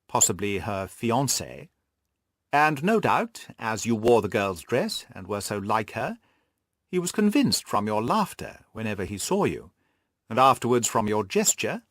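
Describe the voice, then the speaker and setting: A man acts out a shrewd male detective from an audiobook story. He is brisk and commanding, reasoning things out emphatically with very lively swings of pitch in a close, dry recording. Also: dry recording. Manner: emphatic, deductive explaining and giving orders. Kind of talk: audiobook character dialogue.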